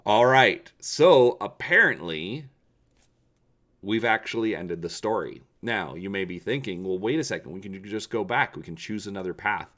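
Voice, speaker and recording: A man hosting and narrating a storybook read-through, speaking with expressive, emphatic presenter delivery. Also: lowish voice, normal speed. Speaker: channel host and story reader.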